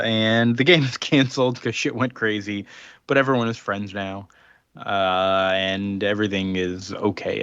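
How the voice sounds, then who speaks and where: A man's voice: lowish voice, a bit bright, smooth, no room sound, highs cut off. A man co-hosting a podcast, recapping a story in a loose, rambling way full of fillers. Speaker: podcast co-host. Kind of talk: casual podcast chat.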